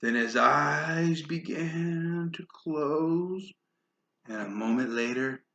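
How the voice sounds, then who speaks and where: A woman reading a children's story aloud in an animated way, with casual asides. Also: deep voice, takes it slowly, muffled recording. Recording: roomy echo, faint background noise.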